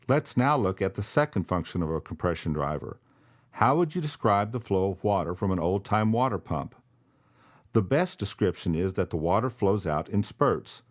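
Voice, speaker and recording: A man giving polished, scripted narration for a product explainer, speaking in an even, explanatory way. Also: on the low side.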